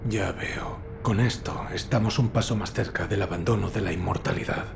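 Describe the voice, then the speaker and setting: A man voicing a stoic, loyal shinobi retainer in a video game, terse and grave, with a gravelly voice. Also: fairly low pitch, normal speed, background noise. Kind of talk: dubbed video game cutscene acting.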